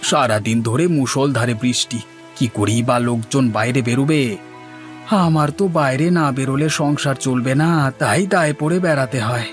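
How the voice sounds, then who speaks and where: A man's voice: medium pitch, normal speed, soft background music, close to an everyday voice. A man voicing a plain, chatty working family man in a Bengali village cartoon.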